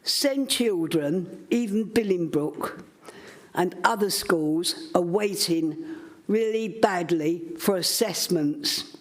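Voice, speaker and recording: A woman addressing a council committee in a loud, insistent, campaigning manner. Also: fairly low pitch, slow, low-quality recording. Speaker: public speaker at council meeting. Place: council chamber.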